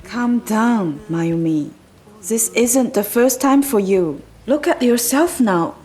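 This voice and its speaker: A woman playing a worldly, blunt companion who calms her friend with firm, deliberate lines in a radio drama. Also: a little high. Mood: firm, soothing.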